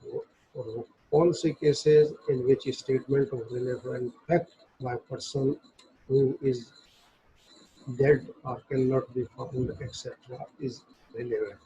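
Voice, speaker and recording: Male law lecturer, dark husky voice, very slow deliberate phrasing, methodical point-by-point explanation, Hindi-English mix. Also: medium pitch, steady tone. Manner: methodical, enumerating points in short separated phrases; switches between Hindi and English. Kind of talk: explanatory lecture, reading and explaining legal text. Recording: dull, muffled lo-fi recording.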